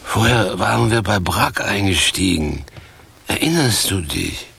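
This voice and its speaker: A weary, stern tramp with the pompous airs of a former stage actor in a radio drama. His voice is low and badly husked, with gravel in it. He speaks slowly and reproachfully. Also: animated, a bit bright, dry recording, heavily acted. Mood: insistent recounting. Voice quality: husky, gravelly. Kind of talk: radio play acting.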